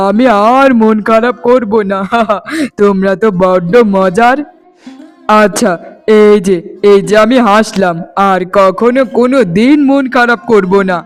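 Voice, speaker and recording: A man's voice: high voice, smooth. A man voicing an earnest young husband in a cartoon melodrama, heartfelt, dramatic and insistent. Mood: relieved, cheerful. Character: earnest, emotional young husband devoted to his bride.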